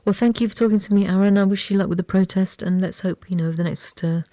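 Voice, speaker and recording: Conversational radio interviewer, soft-edged tone with noticeable fry, close dry sound, loose rambling phrasing. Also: medium pitch, fairly even intonation, fast talker, no echo. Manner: informal, rambling questions with many restarts. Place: radio studio.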